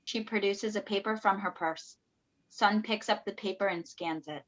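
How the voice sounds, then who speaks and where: Woman reading stage directions, mid-pitched. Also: moderate pace, dull audio. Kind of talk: scripted narration. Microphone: video call.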